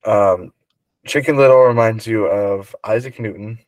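A man co-hosting a podcast as quizmaster, explaining answers casually in a measured way. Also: on the low side, darkish tone, no echo.

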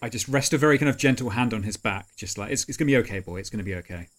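A man's voice: on the low side, lively intonation, rapid-fire. A man playing a tabletop roleplaying game, speaking casually in and out of character. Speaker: player.

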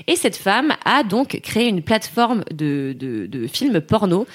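A woman co-hosting a podcast in casual chat, enthusiastic and chatty, quick to laugh. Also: medium pitch, sing-song, slightly rough. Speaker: podcast co-host.

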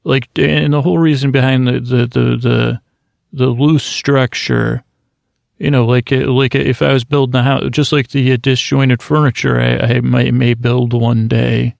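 A man's voice: medium pitch, level tone, moderate pace, vocal fry, darkish tone, no echo. A man hosting his own podcast, rambling and self-mocking, often laughing at his own jokes. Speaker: podcast host. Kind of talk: rambling solo podcast intro.

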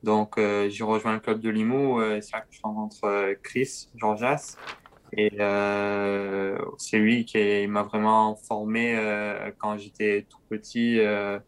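A young man with a slight southern French accent answers questions, stopping often on fillers as he finds his words. His voice sits fairly low and stays flat, with a smooth, clear tone. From southern France. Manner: hesitant, many filler pauses. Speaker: guest, pro cyclist. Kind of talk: casual interview answers.

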